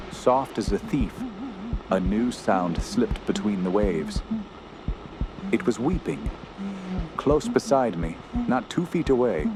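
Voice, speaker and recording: An actor playing an earnest, fearful gentleman camper who tells a horror tale in solemn, literary radio drama style. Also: lowish voice, heavily acted, poor audio. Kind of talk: radio drama narration and dialogue.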